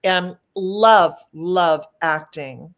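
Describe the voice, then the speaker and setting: A woman's voice: fairly low pitch, drawn-out words. Earnest Midwestern woman telling stories. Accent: Midwestern American. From Michigan, USA. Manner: earnest, eager storytelling. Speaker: guest, actress. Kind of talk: live talk show interview. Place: restaurant.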